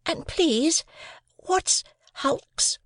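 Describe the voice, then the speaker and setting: A man reading a story aloud, voicing a small, timid boy who keeps asking questions in a high, pleading tone. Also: animated, drawn-out words, fairly bright tone, no room sound, heavily acted.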